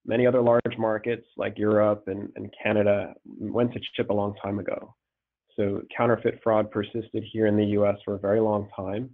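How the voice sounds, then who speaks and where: A man with a cold presenting a webinar on fraud as a risk manager, halting and repeating words, with many ums. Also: on the low side, level tone. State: congested with a cold. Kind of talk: slide presentation over a call. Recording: tinny phone-line audio.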